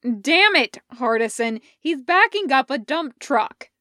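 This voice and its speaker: A woman voicing a gruff, exasperated man with an emphatic, grumbling manner in a story reading. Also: sits high, expressive, takes it slowly, pushed and bright, dry recording, studio quality, pitched above the performer's own voice, exaggerated voice. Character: gruff, exasperated man, scowling but soft-hearted.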